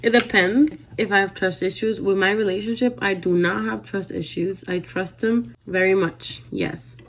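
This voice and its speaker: Woman, Dominican accent, twenties, casual chatty vlog talk, soft trailing delivery. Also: mid-range voice, steady tone, normal speed, a little hiss or hum, low-quality recording. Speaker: vlogger.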